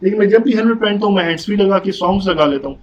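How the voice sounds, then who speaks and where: High-pitched Pakistani man, casual review chat. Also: very fast. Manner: mixes English words into speech. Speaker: motorcycle vlogger reviewing gear. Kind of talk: casual conversational product review. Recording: dull audio with background noise.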